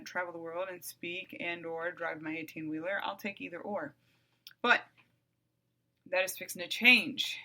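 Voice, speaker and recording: A middle-aged woman hosting her own podcast, talking bluntly and with profanity in a calm, even voice that flares up loud at times. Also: lowish voice. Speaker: podcast host. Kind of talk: candid monologue.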